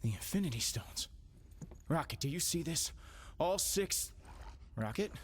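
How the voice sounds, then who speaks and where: High-pitched, flippant space outlaw hero. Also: male voice, a little slow, slight background noise. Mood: baffled. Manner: casual, glib, energetic. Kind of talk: video game cutscene acting. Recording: loud music under the voice. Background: music.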